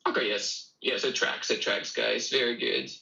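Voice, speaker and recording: A man's voice: mid-range voice, fairly even intonation, moderate pace, creaky, pushed and bright, roomy sound, dull audio. A young man from Russia with a Russian accent, co-hosting a casual chat and repeating his phrases as he talks to viewers. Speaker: co-host.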